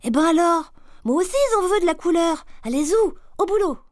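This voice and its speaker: A woman's voice: very high-pitched, animated, normal speed, no room sound, clean studio sound. A woman voicing a cheerful, playful cartoon character who talks in bubbly exclamations. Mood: excited. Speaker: animated mascot narrator.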